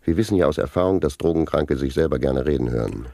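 An actor playing a pedantic senior narcotics officer in a satirical radio drama, lecturing in formal, bureaucratic language. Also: male voice, a bass voice, fast, warm tone, dry recording, studio quality. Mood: officious, didactic. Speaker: interviewed police official.

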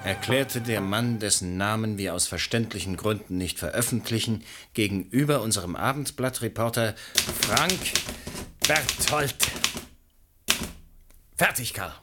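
An actor playing a cocky, ambitious newspaper reporter with a persuasive manner in a radio play. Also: male voice, lowish voice, a little slow, heavy background noise, lightly acted. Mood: self-satisfied. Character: glib, ambitious, debt-ridden newspaper reporter.

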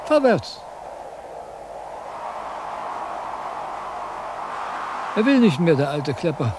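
An older actor playing a vain, grumbling old wandering philosopher in a radio drama, declaiming theatrically and questioning himself. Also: male voice, on the high side, sing-song, moderate pace. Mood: weary, calling out. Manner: declamatory, self-questioning, cantankerous. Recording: noisy 1970s radio drama with sound effects.

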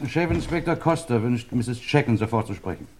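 An actor playing a brisk, formal police officer in a radio crime play, official and correct in manner. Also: male voice, mid-range voice, expressive, darkish tone, slight background noise, close to an everyday voice.

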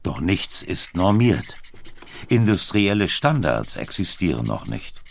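A man's voice: deep voice, sing-song, measured pace, gravelly, slight background noise. A man reading scripted documentary narration as a narrator.

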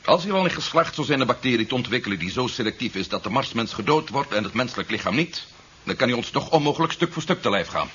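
A cunning male security agent played by an actor who reasons fast and methodically, his voice bright and forceful. It is 1970s radio drama, muffled with faint hiss. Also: mid-range voice, expressive, close to an everyday voice. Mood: methodical, assured. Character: cunning male Martian-turned security agent hunting fugitives.

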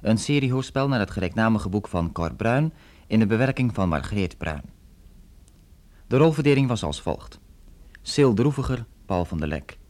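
A man's voice: on the low side, expressive, normal speed, darkish tone. A man announcing on the radio, reading formal scripted closing credits.

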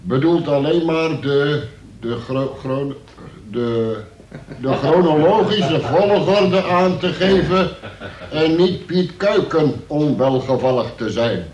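An actor playing an earnest police sergeant in a radio play, stiffly and formally reading out a statement in an echoey room, stumbling at times. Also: male voice, on the high side, expressive, slow pace, muffled recording, crowd noise. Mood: formal, plodding.

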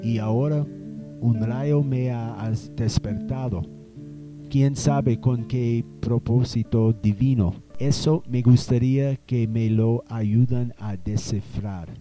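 A man playing a proud, courtly German settler revived after a century, speaking formal, halting, in a radio drama. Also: medium pitch, steady tone, warm tone, highs cut off, faint music behind, natural voice. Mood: solemn, puzzled.

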